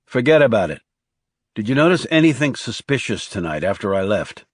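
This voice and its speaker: Man playing a terse, low-voiced government assassin. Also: big pitch swings, brisk, dry recording, studio quality. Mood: evasive. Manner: terse, serious. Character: terse, guarded male government assassin, protective. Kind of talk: audiobook character dialogue.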